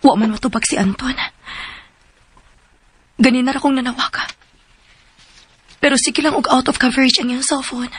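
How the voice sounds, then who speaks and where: A man playing an anxious character in a radio drama, fretting aloud to himself, husky, with a Cebuano Filipino accent. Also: extremely high pitch, moderate pace, pauses often, a bit bright, no echo, heavily acted. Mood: worried musing. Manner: fretting to self. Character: anxious person failing to reach someone by phone. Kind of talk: Philippine radio drama acting.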